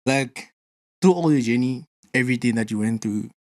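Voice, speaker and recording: A man's voice: mid-range voice, normal speed, a little mellow, no echo, clean studio sound. A man interviewing a podcast host in a casual, easygoing way, with many "you know"s and "like"s.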